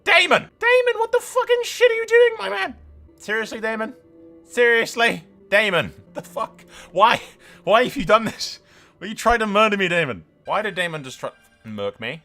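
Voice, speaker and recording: A man's voice: high-pitched, expressive, moderate pace, bright, forceful tone. A man hosting a let's play in a casual, sweary, sarcastic way, with theatrical storytelling flourishes. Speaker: gaming video host. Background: music.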